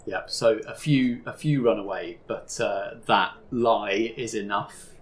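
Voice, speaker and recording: A man narrating as game master of a tabletop roleplaying game, measured and descriptive, with frequent fillers. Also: lowish voice.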